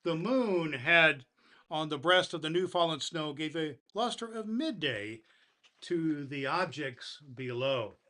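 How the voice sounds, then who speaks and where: A man acting as a kindly, jolly old Father Christmas with a slightly high voice. He hosts for children in a folksy manner, leaning on his words like a storyteller with a picture book. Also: animated, slow pace, bright, forceful tone, heavily acted. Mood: storytelling. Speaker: host of a Christmas story show. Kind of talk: addressing children and reading a storybook.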